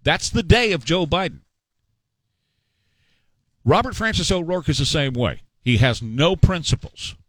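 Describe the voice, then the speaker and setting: A man's voice: a little high, expressive, a little slow, long pauses, fairly bright tone, dry recording. A middle-aged man hosting a talk show, giving opinionated political commentary in a sarcastic, mocking, emphatic way.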